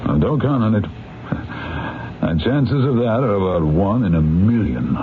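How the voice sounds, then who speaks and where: A shrewd defense attorney in a 1970s radio mystery. He is a deep-voiced, candid man with lively, wry delivery. The sound is tinny and noisy. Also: unhurried. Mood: explaining, knowing.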